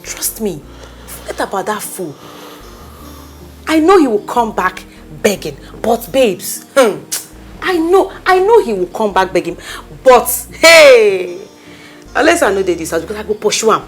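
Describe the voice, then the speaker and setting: A woman's voice: mid-range voice, very animated, measured pace, faint music behind. A woman with a Nigerian accent playing a pushy, caring friend who coaxes and pleads with emphasis. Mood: coaxing. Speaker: consoling friend.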